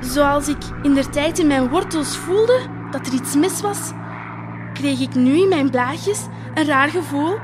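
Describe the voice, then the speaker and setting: A woman's voice: high voice, a little slow, noisy background. A woman with a Flemish accent voicing a naive, wistful talking poppy telling its story in homely, colloquial words for an audio drama. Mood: wondering, longing. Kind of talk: dramatic first-person monologue.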